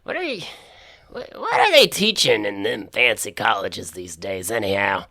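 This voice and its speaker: A man's voice: lowish voice, very animated, moderate pace, gravelly, sharp tone, no echo, studio quality, full character voice. A man playing a folksy, grumbling small-town alien in a sci-fi comedy audio drama. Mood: exasperated, aggrieved. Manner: folksy, drawling rural phrasing, exclamatory.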